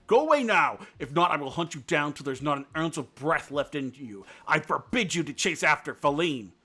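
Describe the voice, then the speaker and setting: A man reading aloud and voicing a bullying rival male deer who gives cross, shouted orders. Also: fairly high pitch, lively intonation, moderate pace, husky, full character voice. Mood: angry, commanding. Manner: commanding, shouting.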